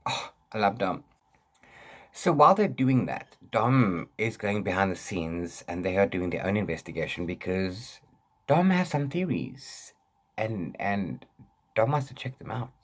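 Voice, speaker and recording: A man's voice: lowish voice, lively intonation, unhurried, a bit bright. A man talking casually as a book vlogger, rambling and often interrupting himself with repeated words. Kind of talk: casual book chat to camera.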